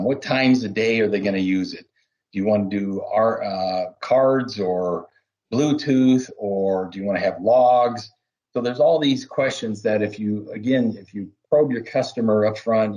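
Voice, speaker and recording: Low-voiced man explaining business points. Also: a little mellow, low-quality recording. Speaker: interviewed business development manager. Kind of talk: interview answers, explanatory business talk.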